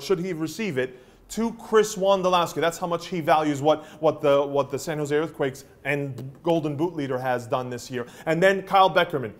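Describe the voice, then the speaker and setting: A middle-aged man gives sports analysis in a loose, conversational way, often pausing on fillers. His voice sits somewhat high for a man. He is recorded on a lapel mic in an office newsroom studio.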